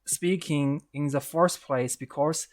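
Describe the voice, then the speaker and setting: A man from China with a Chinese accent, interviewing a guest in English, pausing often with fillers. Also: on the high side, darkish tone. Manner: hesitant, many uh fillers. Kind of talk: casual interview.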